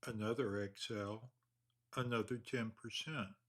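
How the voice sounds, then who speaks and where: A man leading a guided meditation, speaking slowly and calmly with long pauses. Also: on the low side. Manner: slow, calm, measured delivery with long pauses.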